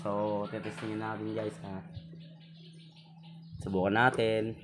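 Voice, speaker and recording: A man's voice: fairly low pitch, level tone, a little slow, music in the background. A Filipino man talking casually and informally to viewers as a vlogger giving a hands-on product demo. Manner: informal, chatty, addressing viewers.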